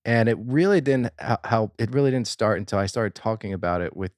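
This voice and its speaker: Laid-back lower-voiced male co-host. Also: animated, brisk, darkish tone, dry recording, studio quality. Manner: laid-back, says "like" often. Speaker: podcast co-host. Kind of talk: casual chat. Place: cigar lounge.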